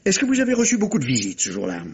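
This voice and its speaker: Un comédien joue un inspecteur de police méthodique et courtois, à la voix voilée, poliment insistant, dans un feuilleton policier radiophonique à l'ancienne. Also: male voice, sits high, huge pitch swings, breathy edge, heavily acted. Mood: methodical. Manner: deliberate, insinuating, politely insistent. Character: methodical, courteous, probing male police inspector. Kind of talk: old French radio mystery acting. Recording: dull lo-fi vintage radio sound.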